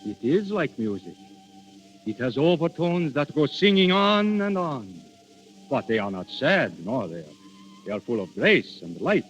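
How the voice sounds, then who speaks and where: An actor playing Death in princely human guise, grandiose, yearning and imperious, in a 1930s radio drama. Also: male voice, mid-range voice, big pitch swings, measured pace, soft background music. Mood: courtly, tender. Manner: theatrical, imperious, brooding. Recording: muffled 1930s radio recording.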